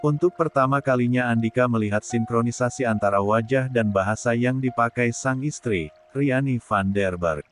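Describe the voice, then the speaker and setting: A man's voice: medium pitch, normal speed. A man reads a story aloud as a scripted, dramatic narration. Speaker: audiobook narrator. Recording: music bed behind the voice.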